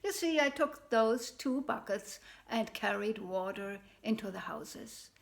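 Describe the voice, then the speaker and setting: A carefree poor cobbler with an easy, unhurried laugh in his speech, as a woman storyteller puts him on. He sounds untroubled and content, talking in a slow, gentle way with a slightly high voice. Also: lively intonation, vocal fry. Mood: easygoing. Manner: laughing, easygoing, unworried. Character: poor cheerful male cobbler, carefree and trusting.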